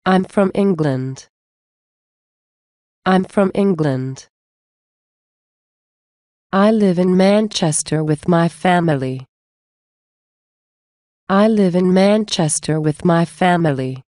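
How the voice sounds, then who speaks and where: A woman reading English example phrases for a language lesson, saying many of them twice in a measured way. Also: lowish voice, warm tone, dry recording, clean studio sound. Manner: measured, repeats each phrase slowly. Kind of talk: slow scripted phrase reading.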